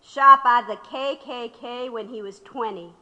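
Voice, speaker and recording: A woman's voice: fairly high pitch, unhurried, pushed and bright, big-room sound, dull audio. An actress playing a sardonic, unyielding older woman labor radical under questioning in an audio drama. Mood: proud, pointed.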